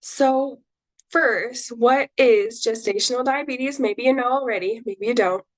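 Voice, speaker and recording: A woman's voice: a little high, a little slow, poor audio. A young woman dietitian getting over a cold, presenting a webinar in a chatty, reassuring way with many ums. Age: young adult. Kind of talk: casual educational presentation.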